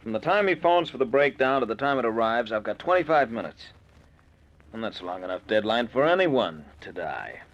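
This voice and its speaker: A man's voice: medium pitch, big pitch swings, moderate pace. A man playing a scheming, self-assured murder plotter in an old-time radio suspense drama. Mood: brisk, confident. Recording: muffled, noisy old radio recording.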